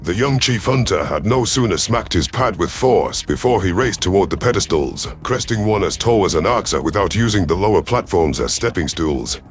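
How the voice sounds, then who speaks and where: Deep-voiced man narrating dramatic fiction. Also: lively intonation, moderate pace, few pauses, faint music behind. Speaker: audio story narrator. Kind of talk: dramatic first-person scripted narration.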